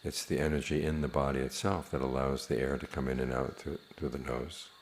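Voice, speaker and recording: Very deep-voiced man guiding meditation. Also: flat delivery, slight background noise. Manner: measured, plain-spoken, with long pauses. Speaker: meditation teacher. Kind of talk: guided meditation instruction.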